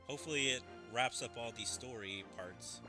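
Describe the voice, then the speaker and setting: A man's voice: medium pitch, moderate pace, music in the background. A man giving casual commentary as the host of a gaming video.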